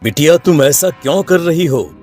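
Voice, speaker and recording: An actor voicing a kindly old male sage in a cartoon, speaking in a slow, soothing, fatherly way. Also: fairly high pitch, animated, a little mellow, faint music behind, close to an everyday voice. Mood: gentle. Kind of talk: Hindi cartoon dub.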